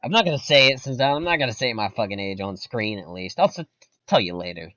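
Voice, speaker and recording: A teenage guy chatting casually about horror movies, laid-back and joking, often trailing off quietly. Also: lowish voice, quick pace, dry recording, highs cut off. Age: teens. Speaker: co-host. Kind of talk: casual movie review chat.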